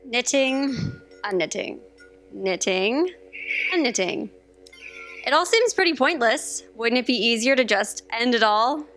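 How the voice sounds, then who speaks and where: An actress plays a morbidly cheerful female computer program in a staged sci-fi audio drama. The voice is fairly high, flippant and dramatic, with no tact at all. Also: animated, a little slow, long pauses, fairly bright tone, soft background music. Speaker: stage actor. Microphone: stand microphone on stage.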